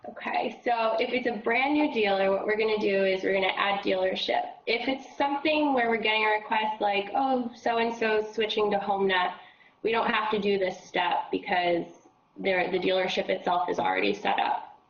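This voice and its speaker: A woman with a froggy voice leading a casual software training session, explaining steps with lots of fillers. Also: medium pitch, normal speed, reverberant, lo-fi sound. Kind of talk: casual screen-share training.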